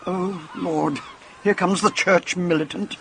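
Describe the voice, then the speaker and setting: Gravelly, blunt English spinster. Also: female voice, lowish voice, steady tone. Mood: brisk, blunt. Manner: blunt, brisk, wry. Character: brisk, blunt, sporty middle-aged English spinster. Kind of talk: radio drama acting. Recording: noisy radio drama soundscape.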